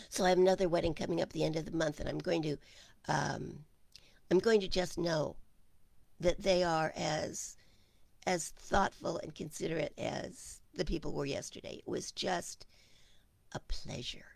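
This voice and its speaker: A woman minister hosting a casual online service, chatting with viewers and greeting them by name, her voice hoarse and gravelly. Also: sits low, darkish tone, no echo. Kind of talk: casual livestream chat with viewers.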